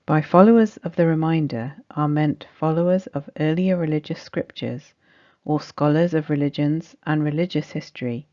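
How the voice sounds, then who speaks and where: A woman's voice: low-pitched, normal speed, warm tone. A woman reading a scripted religious study text aloud as narration. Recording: dull, muffled lo-fi recording.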